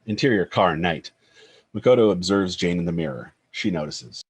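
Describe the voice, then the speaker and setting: A man's voice: deep voice, animated, normal speed, husky, no room sound. A man reading screenplay stage directions as a scripted narrator.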